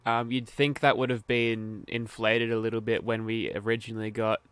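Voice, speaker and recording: A man's voice: lowish voice, level tone, moderate pace, fairly bright tone, clean tone, no room sound. A man chatting casually and joking dryly while playing a game. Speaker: gamer, channel host. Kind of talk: casual chat while gaming.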